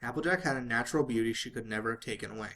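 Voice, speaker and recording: A man's voice: mid-range voice, racing, a bit bright. A man reading fan fiction aloud as a dramatic narrator, sometimes stumbling and rereading phrases.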